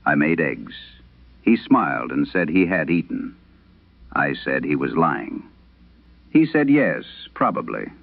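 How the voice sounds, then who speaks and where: A man narrating as a troubled wife reading her haunted letter in a vintage horror radio drama, solemn and measured. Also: very deep voice, big pitch swings, vocal fry, exaggerated voice. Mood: somber, uneasy. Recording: dull lo-fi vintage radio sound.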